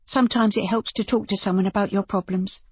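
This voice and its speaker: Narrator putting on an earnest, higher-voiced young innkeeper woman. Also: steady tone, quick pace, slightly rough, dry recording, dull audio, natural voice. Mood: anxious, soothing. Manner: earnest, coaxing, reassuring. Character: anxious, kindly, sensible young innkeeper woman. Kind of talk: audiobook character dialogue.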